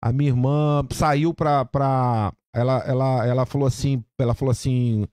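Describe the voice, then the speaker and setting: A man from Brazil, a barber and podcast guest, telling his story in lively casual chat, repeating words and acting out quoted dialogue. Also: medium pitch, moderate pace, no echo, clean studio sound.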